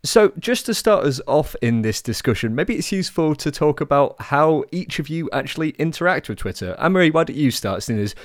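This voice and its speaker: A man hosting a science podcast, reading a scripted introduction in a measured way. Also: on the high side, sing-song, no echo, clean studio sound. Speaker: podcast host.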